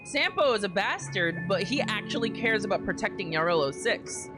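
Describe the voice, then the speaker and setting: A woman's voice: mid-range voice, lively intonation, moderate pace. A woman streaming a game with casual, wry live commentary, swearing and talking back to the characters. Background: game music.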